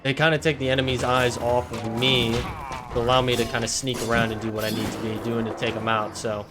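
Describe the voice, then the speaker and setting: A slightly hoarse man talks viewers through his game in a relaxed, chatty way, speaking at a mid pitch. Loud game music plays under his voice. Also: quick pace. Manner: chatty, addresses viewers as guys, frequent fillers. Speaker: gaming video creator. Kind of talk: casual gameplay commentary. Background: game music and combat sounds.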